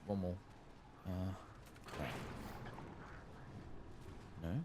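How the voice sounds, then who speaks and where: A man giving soft-spoken, partly whispered ASMR commentary while he plays a game, casually muttering to himself. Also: deep voice, steady tone, extremely slow, heavy background noise. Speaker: gaming streamer.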